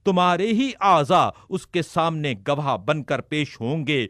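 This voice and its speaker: A man reading a sermon text aloud in a measured, formal recitation. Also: a little high, expressive, fairly bright tone, dry recording, clean studio sound. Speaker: reader of religious sermons.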